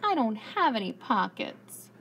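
Female reader as small boy teddy bear from a storybook: earnest, wistful, higher-pitched, unhurried. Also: sing-song, a bit bright, dull audio, heavily acted. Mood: puzzled. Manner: earnest, musing aloud. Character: gentle wistful little toy teddy bear, male. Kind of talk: children's storybook read-aloud.

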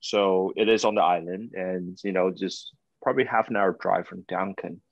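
A young man from Taiwan, talking casually over a video call as a guest, with many pauses and fillers. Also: deep voice, steady tone, vocal fry, no echo. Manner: hesitant, many fillers and restarts. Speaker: whisky brand ambassador guest. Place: indoors, room with whisky bottles and banners. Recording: dull lo-fi call audio.

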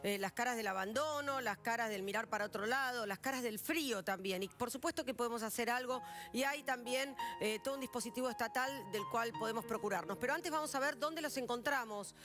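High-voiced Argentine woman presenting news. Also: fairly quick, over music. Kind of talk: live studio news presentation. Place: TV studio.

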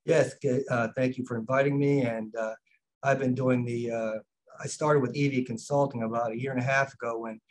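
Older man with a flat, halting delivery. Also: mid-range voice, a little hiss or hum, rough recording. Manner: halting, many fillers. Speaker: fleet management consultant. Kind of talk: video call interview. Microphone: video call.

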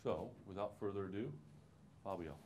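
A man's voice: low voice, moderate pace, darkish tone, a little hiss or hum. A man acting as host, informally introducing a speaker to an audience in a large auditorium.

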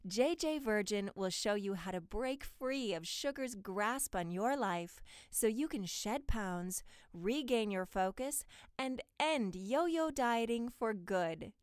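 A woman giving a polished, clearly enunciated scripted promotional read as a voice-over artist. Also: medium pitch, animated, takes it slowly, dry recording, clean studio sound. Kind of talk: scripted promotional voice-over for health and diet ads.

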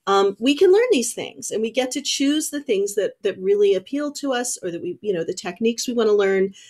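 A woman in her thirties with a medium-pitched voice talks casually on a video call from a room at home. She is a thoughtful teacher and host who often restarts and rewords her sentences as she explains. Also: lively intonation, fairly quick, a little mellow. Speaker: knitting teacher and show host. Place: small indoor room at home. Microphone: computer mic on a video call.

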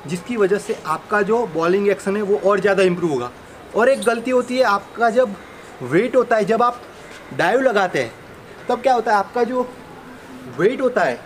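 A man in his thirties speaks in a high-pitched voice. He gives step-by-step coaching straight to viewers while demonstrating the moves. The recording is made outdoors on an open rooftop, with light ambient noise behind him. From India. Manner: demonstrating with gestures while talking, addressing viewers directly. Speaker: cricket bowling coach and video host. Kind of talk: instructional explanation to camera.

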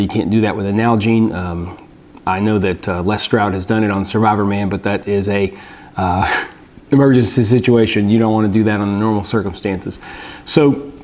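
A man, giving a relaxed, explanatory gear review vlog from a table in a small room, with many fillers. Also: fairly low pitch.

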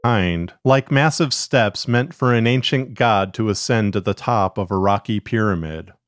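A man's voice: on the low side, normal speed, no room sound. Man narrating fiction, dry close recording. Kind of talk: scripted narrative fiction reading. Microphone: close studio mic.

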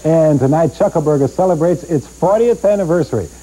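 A man's voice: a little high, brisk, mellow, rough recording. A man with an American accent reading scripted local news as an anchor, on a hissy nineties VHS recording.

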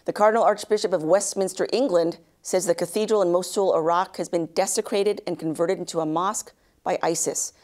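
A woman in her thirties anchoring a news bulletin, reading a script with measured, even delivery in a studio. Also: fairly low pitch. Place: studio news set, indoors.

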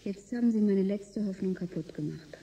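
A woman's voice: medium pitch, normal speed, mellow, lightly acted, rough recording. An actress playing an anxious, skeptical woman aboard a stranded spaceship in a German science-fiction radio drama, with a pointed, doubting delivery. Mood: resigned, anxious. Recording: music behind the voice.

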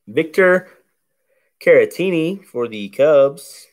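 Slow, bassy man opening baseball cards. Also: expressive. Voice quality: deep, bassy voice. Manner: slow, laid-back play-by-play of each card. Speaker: card break host. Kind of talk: casual card-opening commentary. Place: indoors at a table covered in cards.

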